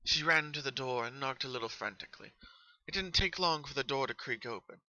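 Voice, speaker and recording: A man reading a story as narrator, in an expressive, earnest dramatic style. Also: mid-range voice, fairly quick, dry recording, highs cut off.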